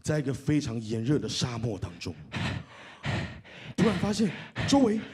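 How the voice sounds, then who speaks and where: A man's voice: mid-range voice, sing-song, normal speed, warm tone, slightly rough. A man with a Taiwanese Mandarin accent doing teasing, mock-dramatic comic banter as a co-host on stage. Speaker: radio co-host presenting awards. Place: on stage at an awards announcement event.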